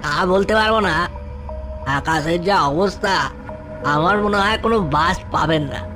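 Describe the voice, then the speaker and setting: Male actor as folksy passer-by in animated horror tale, doubtful, pitched a bit high. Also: a little slow, music in the background. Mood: doubtful, curious. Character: passing male stranger at a bus stand, folksy and doubtful. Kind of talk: animated horror story dialogue.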